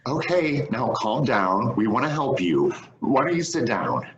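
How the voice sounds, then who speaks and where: A man's voice: mid-range voice, very animated, unhurried. A man acting a worried, placating friend in a staged play reading, with dull, muffled sound.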